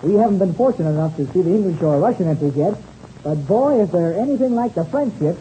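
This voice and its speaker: Actor as animated, eager American boy pilot. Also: mid-range voice, fast talker, close to an everyday voice. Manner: gee-whiz, respectful. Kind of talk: 1930s radio serial acting. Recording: noisy old radio recording.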